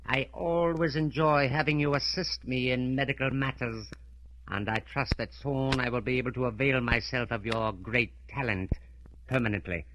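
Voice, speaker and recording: A criminal mastermind of old radio drama, played by a man, with a sharp, forceful voice. He is unhurried and precise, wrapping every command in cold, formal courtesy. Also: medium pitch, fairly even intonation, lo-fi sound, a little hiss or hum, close to an everyday voice. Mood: politely menacing. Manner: formal, precise, menacingly polite. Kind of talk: 1940s radio acting.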